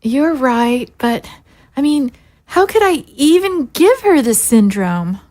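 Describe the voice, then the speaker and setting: A worried young girl, played by a woman, high-pitched and slow to get her words out. She falters and swings up and down in an audio drama. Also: very animated, warm tone, full character voice. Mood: doubtful. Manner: hesitant, faltering. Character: hesitant, worried young girl guarding zombie prisoners.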